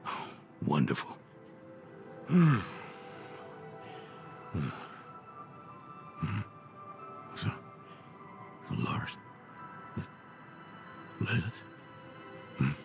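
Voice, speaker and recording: An actor playing a weary, sardonic older scholar in a video game, with a gravelly, grumbling delivery. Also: male voice, on the low side, huge pitch swings, very slow, pauses often, heavily acted. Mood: sardonic, exasperated. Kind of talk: dramatic video game acting. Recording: game audio with music underneath.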